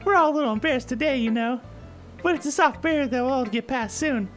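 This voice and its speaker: A woman's voice: sits high, quick pace, close to an everyday voice. A woman voicing a cheerful, reassuring teenage girl club leader in a visual novel, friendly and encouraging. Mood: welcoming. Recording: game music under the voice.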